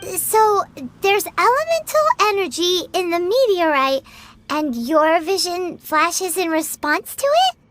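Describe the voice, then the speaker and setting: A woman voicing a small, chirpy, childlike fairy companion in a video game, excitable, blunt and exclaiming. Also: extremely high pitch, takes it slowly, exaggerated voice. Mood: perky, thoughtful. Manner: speaks of herself in the third person, exclaiming. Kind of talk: video game cutscene acting.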